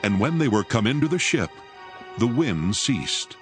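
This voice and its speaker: A man narrating scripture in a measured, solemn, scripted style. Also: mid-range voice, very animated. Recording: music bed behind voice. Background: music.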